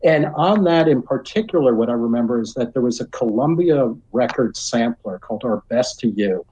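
A man's voice: mid-range voice, animated, normal speed, dark tone, slight background noise, rough recording. A middle-aged or older man with an American accent, talking as a podcast guest in a casual, reflective, rambling way full of "you know". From New York area, United States.

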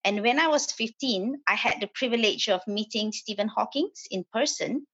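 A woman's voice: on the high side, normal speed, a bit bright, husky, dull audio. A woman from Malaysia, a property developer, speaking as a podcast guest, hesitant with many fillers and restarts.

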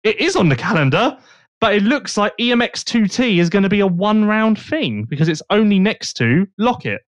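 Animated man hosting a podcast. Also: high voice, fairly quick, dry recording, dull audio. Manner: lively, joking, thinks aloud. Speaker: podcast host. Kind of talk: casual podcast chat.

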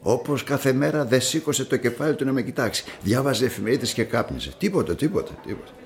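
Gravelly, melancholy old coffee-shop man played by an actor. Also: mid-range voice, lively intonation, lightly acted. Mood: hesitant, evasive. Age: older. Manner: hesitant, repetitive, familiar. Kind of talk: naturalistic radio drama dialogue.

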